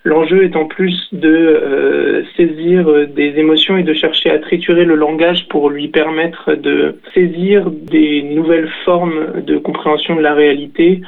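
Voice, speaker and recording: A young man, a poet, answering interview questions thoughtfully, with many pauses and restarts. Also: on the high side, little pitch movement, vocal fry. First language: French. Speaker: poet and author. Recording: tinny phone-like radio sound.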